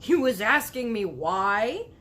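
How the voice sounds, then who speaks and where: A woman voicing a big male storybook giant, excitable, proud and indignant, with muddled grammar and emphatic drawn-out exclamations. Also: on the high side, full character voice.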